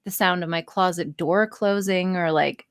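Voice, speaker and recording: A woman's voice: lowish voice, moderate pace, clear, resonant tone, no room sound, studio quality. A woman chatting casually as an interview guest, blunt, sweary and self-deprecating in the way she talks. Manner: sweary, blunt, self-deprecating, animated storytelling with acted-out asides. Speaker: podcast guest, audio voice performer.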